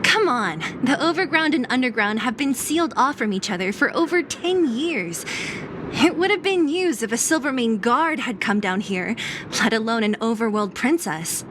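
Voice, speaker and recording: A woman's voice: on the high side, lively intonation, moderate pace, natural voice. A woman playing a scrappy, sceptical young female fighter in a video game cutscene, with blunt, cocky and casual delivery. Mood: casual, puzzled. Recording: clearly noisy game ambience behind voice.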